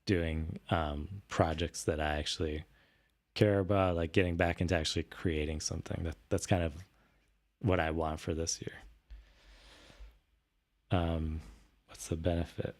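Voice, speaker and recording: A man hosting a casual livestream, thinking aloud hesitantly, with a slightly raspy voice worn from a recent illness. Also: low-pitched, steady tone, unhurried, pauses often. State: voice tired after recent illness. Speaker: streamer host.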